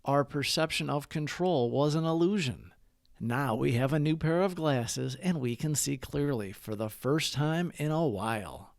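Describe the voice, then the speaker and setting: A man's voice: fairly high pitch, measured pace, vocal fry, no echo, clean studio sound. A man hosting a recovery channel, reading a scripted daily reflection and prayer.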